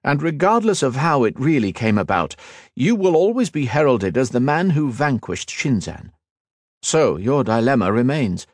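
A formal, thoughtful elf noble, voiced by a man reading an audiobook. The pitch sits raised and moves lively, with a measured, courtly manner. Dry, close recording. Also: dry recording, natural voice.

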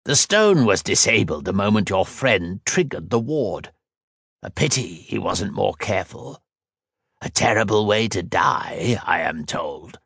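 A man voicing a sinister hooded creature, speaking in a slow, drawn-out, silky and menacing way with a husky tone. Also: on the low side, very animated, a bit bright, no echo, heavily acted. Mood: mocking. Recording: dry close studio read.

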